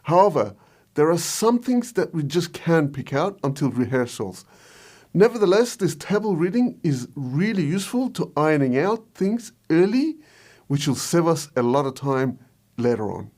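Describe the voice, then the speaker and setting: Higher-pitched man with lively intonation. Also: normal speed. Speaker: video host, short film director. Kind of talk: scripted explainer narration.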